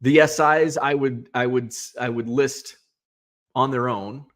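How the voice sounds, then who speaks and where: Host, male, 40s, rambling casual monologue, mid-pitched voice. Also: steady tone, measured pace, fairly bright tone. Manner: rambling, restarts, thinking aloud. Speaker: sports card seller and show host. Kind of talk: casual solo talk.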